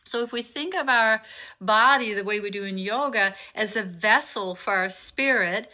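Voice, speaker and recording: A woman from Denmark whose first language is Danish leads an informal live session. Her voice is very bright and forward, at a middling pitch. She speaks to attendees directly, welcoming them and explaining as a teacher does. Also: moderate pace. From Copenhagen, Denmark. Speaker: author and meditation teacher hosting a live session. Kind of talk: informal live online talk and guided teaching.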